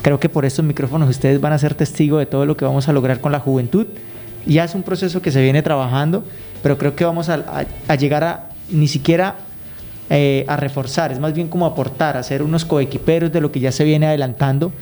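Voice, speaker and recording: Higher-pitched, mellow Colombian man. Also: steady tone, fairly quick. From Colombia, raised in Guaviare. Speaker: radio guest, youth program consultant. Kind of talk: conversational interview answers.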